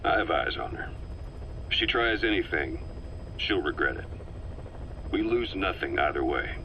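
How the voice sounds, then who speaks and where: An actor playing a shrewd, calm, calculating military veteran in a video game, measured and self-assured. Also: male voice, low-pitched. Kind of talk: dramatic game voice acting. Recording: faint background noise.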